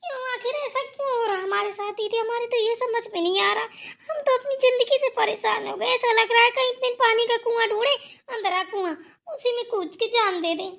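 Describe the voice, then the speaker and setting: An extremely high-pitched young housewife from a family cartoon, acted by a woman. She whines and grumbles about chores in melodramatic, rustic phrasing. She sounds put-upon and sorry for herself. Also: normal speed, vocal fry, fairly bright tone, exaggerated voice. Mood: despairing, wailing. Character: whining, work-shy young daughter-in-law in a family cartoon. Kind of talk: cartoon family drama dialogue.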